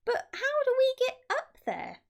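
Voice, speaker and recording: A woman's voice: very high voice, huge pitch swings, a little slow, voice pushed up, full character voice. A woman voicing a doubtful young animal helper in a children's storybook, questioning in disbelief. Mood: puzzled. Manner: questioning, incredulous. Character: puzzled, squeaky young monkeys and birds, doubtful helpers. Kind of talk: children's storybook read-aloud.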